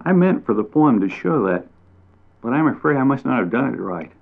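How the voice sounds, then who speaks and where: A man voicing a timid, gentle male bat poet who speaks politely and a little guiltily. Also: medium pitch, big pitch swings, a little fast, gravelly, pitched above the performer's own voice. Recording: tinny phone-like audio.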